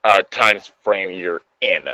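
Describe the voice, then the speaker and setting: A man's voice: low-pitched, steady tone, slow, bright, forceful tone, no echo. A man co-hosting a podcast, chatting casually and giving opinions on horror in a rambling, sweary way. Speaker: podcast co-host.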